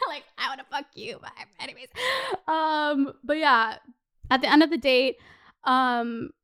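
A woman, telling a candid personal story in a chatty, animated, sweary way full of "like". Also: high-pitched, unhurried, no room sound, clean studio sound. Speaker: podcast host.

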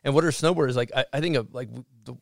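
A man's voice: mid-range voice, very fast, gravelly, no echo, clean studio sound. A man hosting a podcast, chatting casually in a jokey, rambling way with bro humor. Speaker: podcast host. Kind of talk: casual chat.

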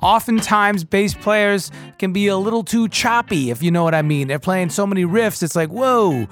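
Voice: mid-range voice, normal speed, soft background music. A podcast host giving an informal, enthusiastic spoken introduction in everyday slangy language.

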